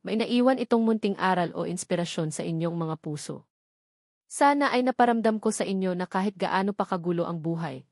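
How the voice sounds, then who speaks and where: An earnest woman narrates a romantic story with gentle feeling and expressive phrasing. Her voice sits at a middle pitch. The recording is close and dry, with no room sound. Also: no echo. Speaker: storyteller and author.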